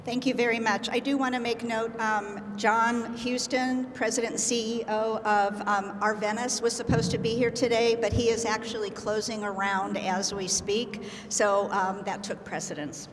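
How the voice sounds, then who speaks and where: Woman moderator over clear room noise. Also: a little high, fairly even intonation, moderate pace, few pauses, in a large hall. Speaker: panel moderator. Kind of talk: moderating a panel. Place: conference hall.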